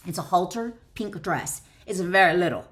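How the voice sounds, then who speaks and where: A woman's voice: lowish voice, expressive, normal speed. A middle-aged woman author reads her own wellness book aloud with chatty asides, encouraging the listener directly.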